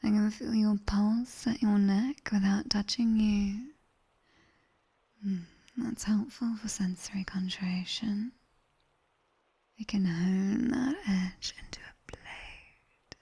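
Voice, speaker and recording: A woman's voice: medium pitch, fairly even intonation, exaggerated voice. Woman as a whispering British nightmare demoness tutor. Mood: stern, teasing. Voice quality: breathy, soft whisper. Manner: slow, deliberate, teasing, dryly stern. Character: sharp-tongued nightmare demoness tutor, teasing and commanding. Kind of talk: whispered ASMR roleplay. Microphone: close binaural microphone.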